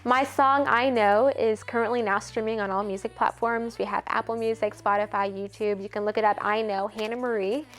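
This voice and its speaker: A woman's voice: medium pitch, fairly even intonation, brisk, few pauses. An eighteen-year-old woman from Ethiopia, a college student and singer-songwriter, talking earnestly in a casual interview, full of "like" fillers. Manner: earnest, chatty, many "like" fillers.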